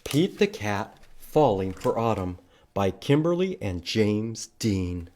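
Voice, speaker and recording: A man's voice: fairly low pitch, big pitch swings, slow, darkish tone, a little hiss or hum. A man hosting a library storytime for young children, reading aloud in an exaggerated, sing-song way and prompting with questions. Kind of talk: children's storytime read-aloud with counting songs.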